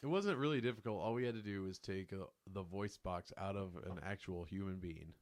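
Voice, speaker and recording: An American man hosting a podcast, telling things in a joking, animated way with casual banter. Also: low-pitched, brisk. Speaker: podcast host.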